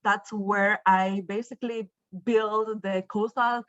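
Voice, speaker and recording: A woman's voice: medium pitch, fairly even intonation, takes it slowly, fairly bright tone, no echo. Spanish woman presenting, slightly muffled audio. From northern Spain, Basque Country. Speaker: university professor, invited keynote speaker. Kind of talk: academic presentation.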